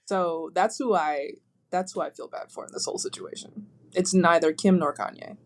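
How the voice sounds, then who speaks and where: A woman's voice: fairly low pitch, moderate pace. Woman guest, chatty and wry, tells stories with flair; faint hiss in the background. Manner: joking, animated storytelling. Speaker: podcast guest. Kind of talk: casual chat. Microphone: remote call.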